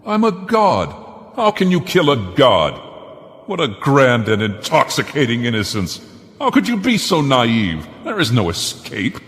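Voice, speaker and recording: A man's voice: medium pitch, fairly bright tone. A man playing an arrogant, mocking god villain from a video game, theatrical and pompous in delivery. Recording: long cavernous reverb.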